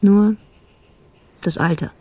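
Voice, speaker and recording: A woman playing a sober, troubled police investigator in a radio drama, talking in clipped fragments with heavy pauses. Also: mid-range voice, dark tone, husky. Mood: sober, frustrated.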